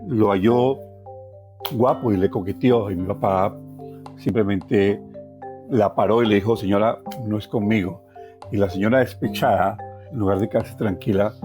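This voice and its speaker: An older Colombian man telling personal stories in a reflective, expressive way as an interview guest. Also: on the low side, moderate pace, over music. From Valle del Cauca, Colombia. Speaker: journalist, podcast guest. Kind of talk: personal storytelling.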